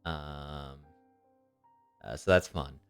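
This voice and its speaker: A man's voice: rumbling low, steady tone, extremely slow, smooth. A young man with a slightly nasal voice, recovering from illness, hosting and recapping a tabletop game as dungeon master with many ums and uhs. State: recovering from illness and tooth surgery. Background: soft background music.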